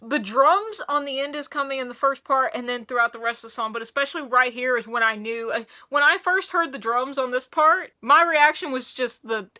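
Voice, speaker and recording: A woman with a high, sharp and bright voice hosts a casual song review, talking straight to the camera. She is losing her voice, and she gushes excitably, often tripping over and restarting her sentences. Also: brisk, hardly any pauses, faint music behind. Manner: gushing and excitable, with frequent restarts. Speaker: music reviewer and video host.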